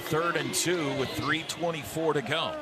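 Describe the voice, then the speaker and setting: Play-by-play man over loud stadium noise. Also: fairly high pitch, unhurried. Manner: short clipped phrases following the action. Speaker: radio play-by-play announcer. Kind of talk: live American football play-by-play commentary. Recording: very noisy stadium broadcast. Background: loud stadium ambience.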